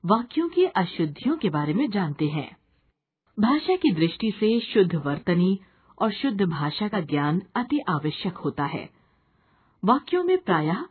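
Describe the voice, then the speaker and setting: A woman's voice: mid-range voice, big pitch swings, unhurried, husky. A woman teacher giving scripted educational narration in a didactic, explanatory manner. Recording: muffled narrow phone-like sound.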